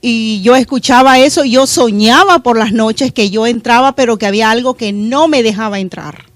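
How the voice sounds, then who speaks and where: A woman's voice: fairly high pitch, normal speed, no echo. Earnest Honduran-born woman pastor. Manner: earnest, reflective, with hesitant fillers. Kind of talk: testimony interview. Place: church media studio.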